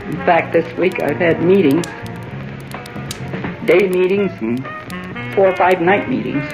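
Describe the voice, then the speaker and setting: A woman's voice: fairly low pitch, measured pace, dull audio, music in the background. An older American woman talking plainly and informally in an interview. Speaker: interviewee, senior citizens' club leader.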